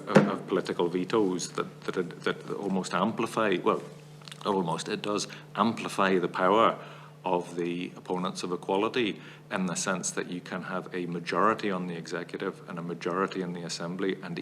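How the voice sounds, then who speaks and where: Low-voiced man giving measured testimony. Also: a bit bright, a little hiss or hum. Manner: measured, analytical, builds long arguments. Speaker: witness at a parliamentary committee. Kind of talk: formal committee testimony.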